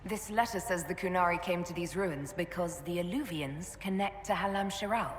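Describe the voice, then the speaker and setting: An actress playing a composed, resolute female leader of a fantasy order in a video game, speaking in a measured, earnest way. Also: on the low side, natural voice. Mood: explaining. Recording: roomy echoing hall, faint background noise.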